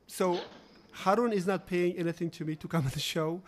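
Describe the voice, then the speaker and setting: A man hosting his podcast, welcoming a guest with loose, informal phrasing and quick questions. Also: high voice, fairly even intonation, slight background noise. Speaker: podcast host. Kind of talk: casual interview hosting.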